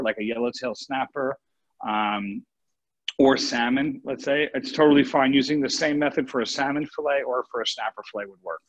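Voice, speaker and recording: A man giving a casual cooking demo as a chef over a video call, talking informally and haltingly as he thinks aloud. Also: medium pitch, breathy edge, no room sound. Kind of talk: casual live cooking demo, question and answer. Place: home kitchen on a live video call. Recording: muffled dry online video-call sound.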